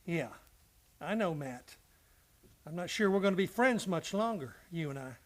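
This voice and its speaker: An actor playing a loyal, hot-headed, folksy cowboy in a Western radio drama, speaking emphatically. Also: male voice, high voice, lively intonation, normal speed. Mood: bitter pleading.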